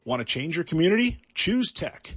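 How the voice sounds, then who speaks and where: A man's voice: on the high side, big pitch swings, normal speed, fairly bright tone, soft background music. A man announcing a scripted radio promo as an advert voice-over.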